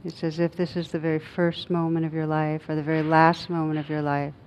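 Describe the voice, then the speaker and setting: A woman guiding a meditation as a teacher, speaking gently and unhurriedly in short phrases with long pauses. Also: level tone. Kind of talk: guided meditation. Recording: dull muffled recording with faint rumbling noise.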